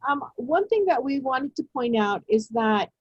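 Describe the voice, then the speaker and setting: A woman leads a teacher-training webinar in a measured, unhurried way, often pausing on fillers and restarting her sentences. Her voice sits a little high and has a husky edge. The sound is dry and close, with no room echo. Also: expressive, muffled recording. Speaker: education presenter. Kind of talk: webinar presentation. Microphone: video call.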